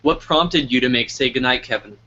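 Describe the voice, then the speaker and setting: A man's voice: medium pitch, brisk, bright, forceful tone. A man hosting a casual interview, putting questions to his guest. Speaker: interviewer, host. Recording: dull lo-fi audio.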